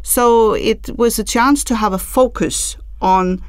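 A woman's voice: fairly high pitch, takes it slowly, clean tone, no echo. A middle-aged woman from southern Denmark, a podcast guest in a small studio, earnest and agreeable. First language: Danish. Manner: earnest, agreeable, many short affirmations. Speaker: podcast guest, vocational school staff. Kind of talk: casual podcast conversation. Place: small studio room, indoors. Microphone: desk microphone on a stand.

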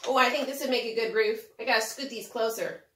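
A middle-aged woman presenting a preschool lesson to young children in an animated way, with simple phrasing and frequent questions to the listener. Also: fairly high pitch, fast, background noise. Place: indoors, home kitchen. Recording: roomy, echoey home kitchen.

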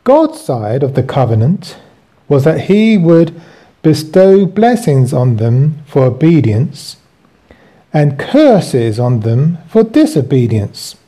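Slow, mellow man reading aloud. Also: fairly high pitch, big pitch swings, breathy edge. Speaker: host reading his own book with commentary. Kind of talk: scripted reading with commentary.

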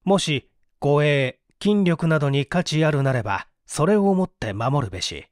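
A man's voice: fairly high pitch, animated, normal speed, no echo, studio quality, close to an everyday voice. Man as a pompous, menacing villain. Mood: mocking menace. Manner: pompous, archaic, declamatory. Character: menacing, mocking writer of a threat letter.

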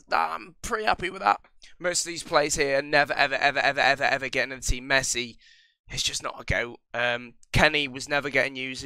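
A man's voice: mid-range voice, pushed and bright, smooth, dry recording. A young man with a cold giving rambling, casual commentary over a football game, full of ums and restarts. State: recovering from a cold. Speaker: gaming channel host.

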